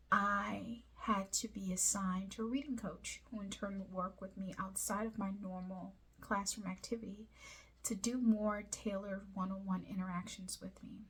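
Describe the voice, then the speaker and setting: Woman from liberia who grew up in the states, husky breathy voice, talking to viewers about her life, earnest and deliberate. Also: mid-range voice, fairly bright tone, poor audio. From Liberia, raised in the United States. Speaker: host of a personal video series. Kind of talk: personal storytelling monologue to viewers.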